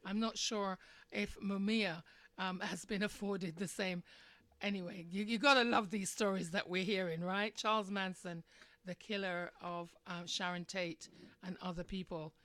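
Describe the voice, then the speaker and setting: A woman's voice: mid-range voice, fairly even intonation, moderate pace, fairly bright tone. A woman speaks as the host of a live radio talk show. Her mid-range voice is conversational and opinionated, often turning to listeners with questions. The sound is dry, with very little room echo.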